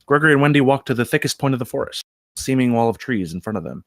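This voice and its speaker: Male narrator, screenplay stage directions, lively varied pitch, medium register, dry sound. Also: fast talker, no room sound.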